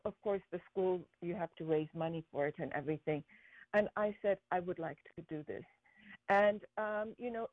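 A woman's voice: lowish voice, no echo. Earnest woman over a tinny phone line. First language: Persian. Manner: earnest, halting with many fillers. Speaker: guest, school founder. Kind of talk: interview conversation.

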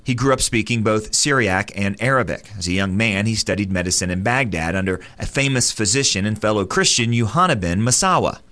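A man delivering scripted radio commentary in an earnest, steady narrating voice. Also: mid-range voice.

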